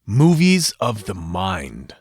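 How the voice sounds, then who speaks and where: Slow, husky low-voiced man announcing. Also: wildly swooping pitch, no echo, studio quality. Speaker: announcer of a radio replay channel. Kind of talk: dramatic scripted intro.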